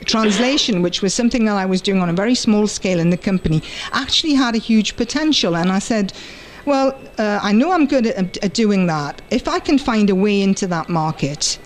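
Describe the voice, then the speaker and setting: A middle-aged British woman translator, speaking thoughtfully in an interview and pausing often with fillers. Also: medium pitch, lively intonation, a little hiss or hum. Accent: British English. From United Kingdom. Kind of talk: conference interview and personal story.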